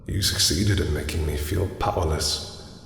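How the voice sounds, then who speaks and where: A man's voice: extremely low pitch, gravelly, fairly bright tone, heavily acted. A man playing an immortal god of death in a fantasy audio roleplay, brooding, imperious and yearning, speaking in a solemn, grandiose way. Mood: tender, yearning. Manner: grandiose, solemn, slow deliberate speech. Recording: cavernous echo, long reverb, faint noise.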